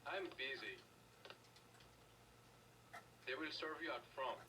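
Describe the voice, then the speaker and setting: A man's voice: medium pitch, brisk, distant mic, background noise, lightly acted. A man playing a curt, busy fellow in a video game, brusque and impatient with whoever asks. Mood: brusque, dismissive.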